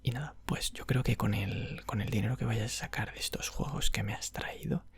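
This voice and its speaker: A man playing a friendly young game shop clerk in an ASMR roleplay, with a Castilian Spanish accent. He murmurs in a very breathy whisper that often breaks into heavy creak. The delivery is informal and explanatory, recorded dry and very close to the mic. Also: fairly low pitch, steady tone, moderate pace, no room sound, full character voice. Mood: soft, friendly, relaxed. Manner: informal, explanatory, frequent check-in tags. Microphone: close microphone.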